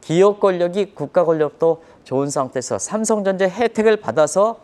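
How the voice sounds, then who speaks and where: A man's voice: high voice, expressive, fairly quick, darkish tone. A man giving live economic commentary on a broadcast in an emphatic, explanatory manner, posing rhetorical questions.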